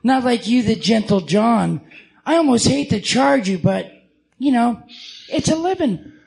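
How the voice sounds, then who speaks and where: A man voicing a flirty, worldly female prostitute in a comic-book radio drama, with a creaky, teasing drawl. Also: lively intonation, a little slow, darkish tone, full character voice, low-quality recording. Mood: chatty, flirty. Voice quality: creaky, high.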